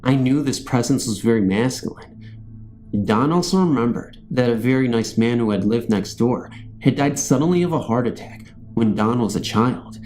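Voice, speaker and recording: A man's voice: mid-range voice, normal speed, a little mellow, faint music behind, rough recording. A man reading a scripted first-person ghost story as a narrator. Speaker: narrator of true ghost stories.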